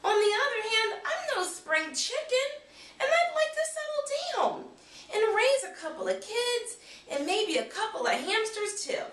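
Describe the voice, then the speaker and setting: A woman acts out an earnest middle-aged janitor from a storybook, confiding and excitable. The voice is high and swoops around, heard at a distance in a roomy space. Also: measured pace, heavily acted. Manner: chatty, hesitant, confiding. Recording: roomy, distant camera microphone.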